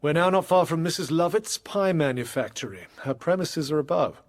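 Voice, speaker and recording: An actor playing an authoritative, secretive gentleman investigator in a period audio drama, husky, measured and formal. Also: fairly high pitch, animated, natural voice. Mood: hushed, commanding.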